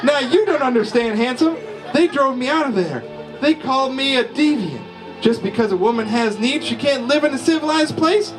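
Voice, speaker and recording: A man's voice: extremely high pitch, animated, normal speed, music in the background, pitched above the performer's own voice. Man doing a coy pleading young lady. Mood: indignant.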